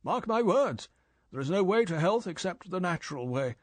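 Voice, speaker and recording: A man's voice: high-pitched, very animated, normal speed, no room sound, pitched above the performer's own voice. A man reading an audiobook, voicing M, a stern older male spy chief who lectures in a clipped, scolding way. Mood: stern lecturing.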